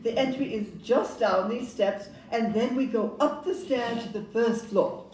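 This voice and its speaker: A woman's voice: a little high, unhurried, darkish tone, lightly acted. An actress playing a polite, upbeat Victorian London estate agent in a stage audio play, genteel and salesmanlike as she glosses over flaws. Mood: glossing over flaws. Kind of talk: audio-play acting before an audience. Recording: roomy, distant live pickup, faint noise.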